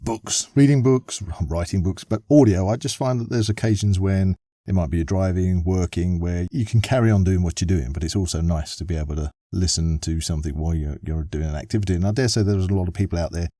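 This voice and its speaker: A man's voice: sits low, fairly quick, hardly any pauses, warm tone, dry recording, studio quality. A man hosting a podcast and chatting as he interviews a guest. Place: padded podcast studio.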